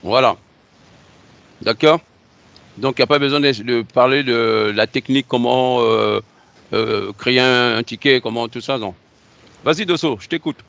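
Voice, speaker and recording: A man working as a computer trainer, speaking hesitantly with many pauses and fillers. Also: mid-range voice, gravelly, fairly bright tone, highs cut off. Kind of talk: spoken appeal to listeners.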